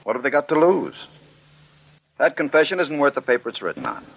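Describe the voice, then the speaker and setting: Stern commissioner, animated old-radio delivery. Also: male voice, brisk, gravelly, slightly rough, full character voice. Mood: skeptical, scornful. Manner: sarcastic, high-handed, rhetorical questioning. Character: stern, skeptical male commissioner, unyielding defender of jury verdicts. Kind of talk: 1940s radio drama acting. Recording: muffled vintage 1940s radio broadcast.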